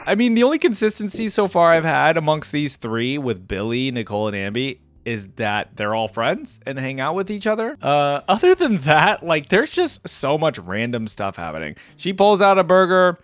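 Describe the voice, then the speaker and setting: A man's voice: a little high, big pitch swings, normal speed, hardly any pauses, no echo. A man hosting a reaction video, thinking aloud in casual, informal commentary. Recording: music behind the voice.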